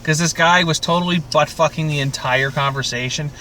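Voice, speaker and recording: A man hosting a casual podcast chat about a TV show, with crude, profane, animated joking. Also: on the high side, a little fast, crowd noise. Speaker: podcast host.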